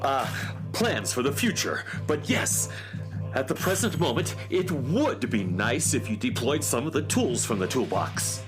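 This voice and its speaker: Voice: medium pitch, very animated, a little slow, over music. An actor playing a pompous, polite, brainy male space handyman in a children's radio drama, speaking formally. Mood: diplomatic, formal.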